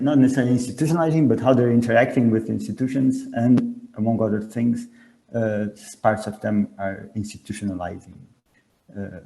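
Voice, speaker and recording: A man with a low, gravelly voice and a mellow, dark tone. He talks in a halting way, full of fillers and restarts, as he speaks at a conference session over a video call. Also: low-quality recording. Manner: hesitant, many restarts and fillers. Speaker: researcher and workshop co-facilitator.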